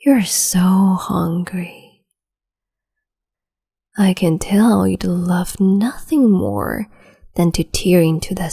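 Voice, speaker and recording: A woman playing a breathy whispering cruel empress. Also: fairly low pitch, mellow, full character voice. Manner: slow, teasing, condescending, doting. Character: cruel capricious female empress, young adult. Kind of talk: ASMR roleplay audio.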